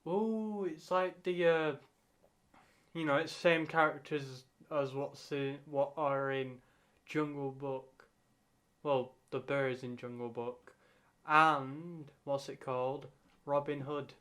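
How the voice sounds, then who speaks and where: A young man casually reacting to a video, talking in halting, rambling asides that he keeps correcting mid-sentence. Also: on the high side, steady tone, a bit bright.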